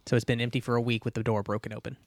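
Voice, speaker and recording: The quick-talking player at a weekend game night, a man planning moves right up at a dry mic. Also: mid-range voice, little pitch movement, dry recording. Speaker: tabletop role-playing player. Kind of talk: casual in-game chat.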